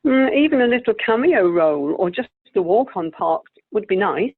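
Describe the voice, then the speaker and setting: A woman playing a wistful, genteel, sweetly vain elderly actress in an amateur radio play, with a creaky voice. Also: mid-range voice, lively intonation, normal speed. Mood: hopeful, persistent. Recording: tinny, phone-like video call audio.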